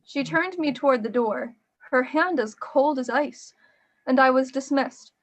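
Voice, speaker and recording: A woman's voice: sits high, measured pace. A woman playing a worried, devoted assistant who narrates a gothic radio play in an earnest, literary storytelling manner. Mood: uneasy. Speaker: voice actor and narrator.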